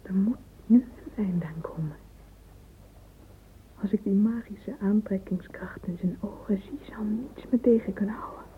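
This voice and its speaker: An actress playing a dreamy, self-dramatising young woman in a radio drama inner monologue, hushed and breathy with yearning. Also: medium pitch, a little slow, natural voice. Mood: solemn longing. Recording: muffled, noisy old radio play recording.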